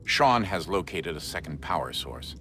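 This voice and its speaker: A terse, authoritative team leader in a naturalistic game scene. His voice is extremely deep, with noticeable noise behind it. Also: big pitch swings, normal speed, sharp tone, full character voice. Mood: businesslike. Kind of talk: naturalistic video game acting. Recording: noisy ambience behind.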